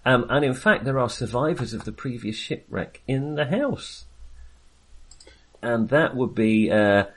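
A man's voice: medium pitch, animated, measured pace. A man chatting casually and enthusiastically about films as a podcast co-host, rambling and hesitating as he goes. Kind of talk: casual, chatty film discussion.

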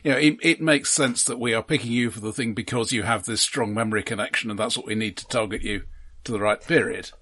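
A man's voice: medium pitch, fast, fairly bright tone, slightly rough. A British man co-hosting a casual, analytical film discussion in a dry, matter-of-fact manner. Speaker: film podcast co-host.

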